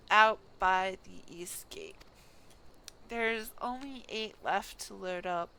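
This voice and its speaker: A woman's voice: medium pitch, sharp tone, slight background noise, full character voice, low-quality recording. A woman voicing a grumbling fantasy game shopkeeper, with rustic, colloquial speech and dropped consonants.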